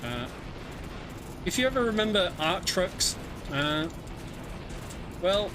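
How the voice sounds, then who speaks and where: A man's voice: sits high, sing-song, takes it slowly, noisy background. A man giving chatty, wry live commentary on a speedrun, telling the story of the game as he plays. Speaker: speedrunner commentating own run. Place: home setup, streamed online event. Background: game music.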